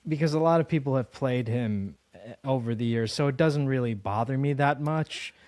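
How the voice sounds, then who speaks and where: A man's voice: mid-range voice, moderate pace, darkish tone, dry recording. Casual man with dry, close sound. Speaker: podcast interviewer. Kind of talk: casual interview chat.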